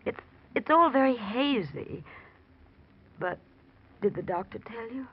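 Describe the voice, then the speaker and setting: A woman's voice: a little high, lively intonation, husky, exaggerated voice. An actress playing a fragile, injured woman with a hazy memory, hesitant and uneasy, in 1940s radio drama style. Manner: hesitant, halting. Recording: muffled vintage radio recording.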